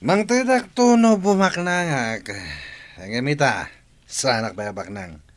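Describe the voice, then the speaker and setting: A man's voice: a little high, takes it slowly. A man playing a cocky, wheedling deadbeat father in a radio drama, teasing and drawling as he talks. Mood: cocky, taunting. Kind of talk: Ilocano radio drama acting.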